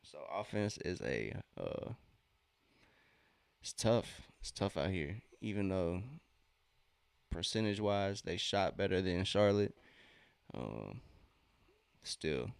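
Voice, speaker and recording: A man's voice: sits low, sing-song, slow pace, creaky, pauses often, clean tone, dry recording. A thirty-year-old man hosting a sports watch-along stream, chatting casually in a laid-back, slangy way between bits of commentary. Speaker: livestream watch-along host.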